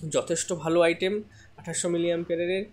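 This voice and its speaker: Higher-pitched man demonstrating a product. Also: steady tone, quick pace, darkish tone.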